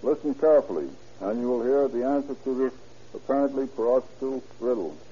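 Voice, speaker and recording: A man's voice: mid-range voice, brisk. A man speaking as a police captain, reading a formal 1930s radio address in a solemn, stiff, moralizing way. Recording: muffled vintage radio recording.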